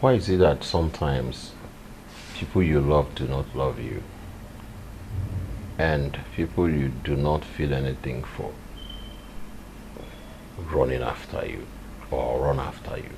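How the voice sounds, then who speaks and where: Man as a cold young man, very deep voice, slow, firm, loud music behind. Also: vocal fry, slight background noise, full character voice, low-quality recording. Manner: firm, measured, dismissive. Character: cold young man refusing a woman's love. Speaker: son who rejects a suitor.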